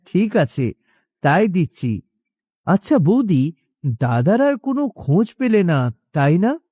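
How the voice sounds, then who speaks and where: A man's voice: high voice, sing-song, takes it slowly, pauses often, warm tone, no room sound, clean studio sound, full character voice. A man voicing a grinning, fawning village grocer in a cartoon, giggly and ingratiating. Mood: fawning, sly. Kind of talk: cartoon voice acting.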